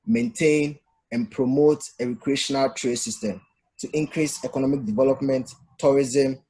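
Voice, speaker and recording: Slightly husky young Ghanaian man. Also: medium pitch, darkish tone, low-quality recording. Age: young adult. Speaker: student presenter. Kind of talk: prepared presentation.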